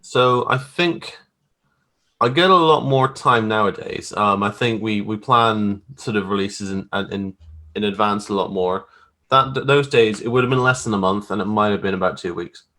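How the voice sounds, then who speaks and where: Low-voiced man chatting informally as a guest. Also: moderate pace, bright, forceful tone. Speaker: guest graphic artist. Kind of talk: casual video interview, explaining own work. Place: remote video call.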